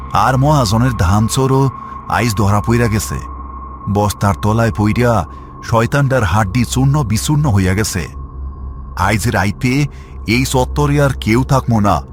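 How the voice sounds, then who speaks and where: A man playing a commanding, quick-witted village guard leader with a rural Bangladeshi Bengali accent in an animated horror story. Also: on the low side, a little hiss or hum. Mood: relieved.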